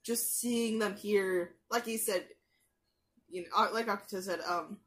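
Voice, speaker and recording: A young woman in a small bedroom chatting casually about an episode she is watching, full of fillers and restarts. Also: mid-range voice. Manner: chatty, many fillers and restarts, quick asides. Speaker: anime reaction youtuber. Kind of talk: casual rambling commentary. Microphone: gaming headset mic.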